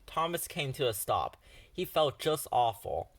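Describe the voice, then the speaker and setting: A man narrating a children's storybook in an expressive read-aloud style. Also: medium pitch, a little slow, no echo. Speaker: storybook reader.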